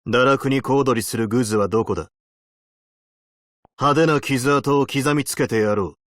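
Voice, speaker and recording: A man's voice: medium pitch, no room sound, studio quality, natural voice. A man voicing a stern, weary veteran abyssal hunter in a video game, speaking in a terse, solemn, commanding way. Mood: menacing. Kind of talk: Japanese video game character voice lines.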